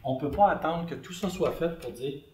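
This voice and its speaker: A man with a Québécois French accent, a conference speaker answering audience questions in a relaxed, explanatory way with frequent fillers. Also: fairly high pitch, fairly quick, slight background noise. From Canada (Quebec). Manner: conversational, explanatory with many fillers. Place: large conference hall. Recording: echoey hall recorded from far off.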